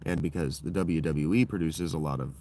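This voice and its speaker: A man with a deep voice hosting his own livestream, talking in a loose, digressive and profane monologue that keeps circling back on itself. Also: a little fast, darkish tone, a little hiss or hum. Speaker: livestream host. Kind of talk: rambling solo livestream monologue.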